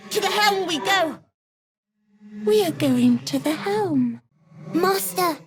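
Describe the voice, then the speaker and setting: A woman voicing an eerie, childlike brain creature in a video game, devoted and urgent, with whispery, halting speech. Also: sits high, full character voice. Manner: slow, halting, clipped sentences.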